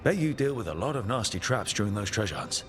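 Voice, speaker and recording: A man voicing a curious adventurer leader who asks calm, measured, probing questions in a game cutscene. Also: lowish voice, faint music behind. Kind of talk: video game cutscene dialogue.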